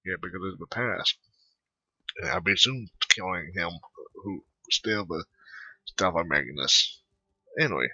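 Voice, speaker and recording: Low guy rambling over his game, kinda hesitant, dry muffled mic. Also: animated, measured pace, pauses often, pushed and bright, breathy edge, no echo. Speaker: gamer playing and commenting. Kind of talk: casual game commentary, reading choices aloud.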